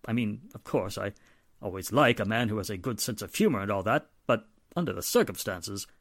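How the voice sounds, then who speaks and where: A man's voice: fairly low pitch, lively intonation, fairly bright tone, no echo, clean studio sound. Man acting a genteel, hesitant wealthy father. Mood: hesitant, insinuating. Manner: polite, measured, hemming. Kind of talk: 1940s radio drama acting.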